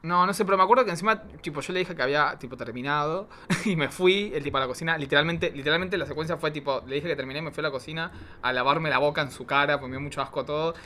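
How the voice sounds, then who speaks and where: A man's voice: fairly high pitch, rapid-fire, hardly any pauses, bright, forceful tone. A man with an Argentine accent chatting casually in a camp, dramatic, gossipy way. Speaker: drag queen guest.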